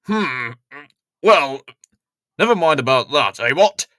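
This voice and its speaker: Storybook army major, acted by a woman, male, hearty, blustering, commanding, forceful. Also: low voice, expressive, takes it slowly, no room sound, studio quality, full character voice. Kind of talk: children's storybook character voice.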